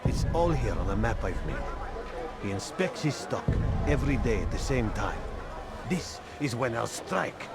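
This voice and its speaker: An actor playing a resolute, fatalistic assassin in a video game, speaking in a measured, conspiratorial way. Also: male voice, medium pitch, big pitch swings. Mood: grave, determined. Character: resolute assassin plotting a strike, calm and fatalistic. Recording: loud music over the dialogue.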